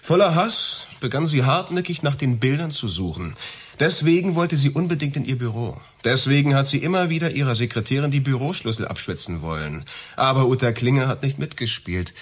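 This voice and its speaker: A husky male voice at medium pitch plays a police detective in a radio crime drama. He is stern and commanding, laying out accusations plainly. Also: lively intonation, a little fast. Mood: accusing. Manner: stern, matter-of-fact, commanding.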